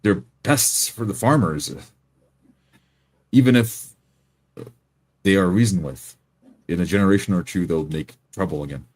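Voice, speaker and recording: A man's voice: deep voice, a little slow, pauses often, a little mellow. A man playing a tabletop roleplay, speaking firmly in character and describing his own actions.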